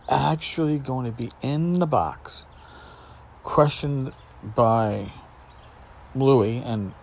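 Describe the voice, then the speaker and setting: A middle-aged man hosting a casual solo podcast, talking in a blunt, sarcastic, sweary way with emphatic repetition and pauses for effect. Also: mid-range voice, darkish tone, a little hiss or hum. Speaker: podcast host. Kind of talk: casual spoken podcast monologue.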